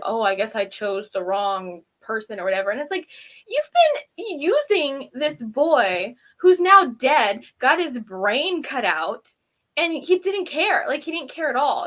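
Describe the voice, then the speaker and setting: Bright, high-voiced woman chatting casually. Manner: giggly, informal, exasperated asides. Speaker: co-host of a TV review show. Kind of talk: casual chat, recapping and critiquing a TV episode.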